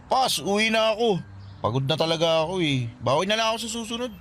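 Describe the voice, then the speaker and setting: A young Filipino guy in a radio drama, slightly high and very quick. He is weary and broke, grumbling with dry sarcasm. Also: animated, fairly bright tone, faint music behind. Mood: weary, sarcastic.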